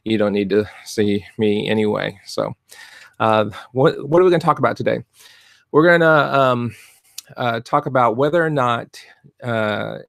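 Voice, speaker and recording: Middle-aged man, soft-spoken coach, gravelly edges, rambling with fillers, dry close desk mic. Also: mid-range voice, no room sound. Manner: rambling, many fillers and restarts. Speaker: fluid art business coach. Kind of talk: casual live-stream talk. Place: cluttered home art studio, indoors. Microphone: desk mic in front of webcam.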